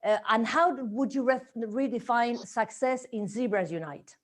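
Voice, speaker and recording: A woman's voice: fairly high pitch, a little slow. A woman hosting an online interview, asking long questions with frequent hesitations. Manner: hesitant, many "uh" fillers. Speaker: interview host.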